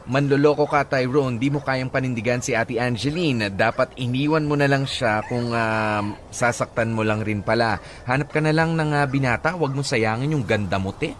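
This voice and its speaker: A man with a Filipino accent co-hosting a radio advice show, talking casually with many halting pauses and some English. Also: mid-range voice, a little hiss or hum. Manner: halting, filler-heavy, mixes in English. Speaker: radio co-host. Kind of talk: casual radio advice talk, reading listener comments.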